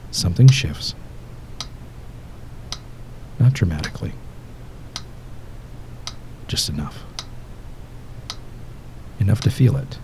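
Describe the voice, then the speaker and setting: A deep male voice with a gravelly fry guides the listener toward sleep as a hypnotist. He speaks in short, measured, soothing phrases with pauses, the endings softening almost to a whisper. A noticeable bed of rumbling, ticking noise runs behind him. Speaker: hypnotist guiding a sleep session. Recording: steady background noise, low rumble and ticking. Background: ticking clock-like rhythm.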